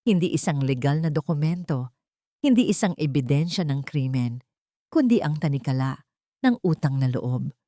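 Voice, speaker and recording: A woman narrating a scripted dramatic love story in a measured, solemn storytelling manner. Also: sits low, lively intonation, warm tone, dry recording, studio quality.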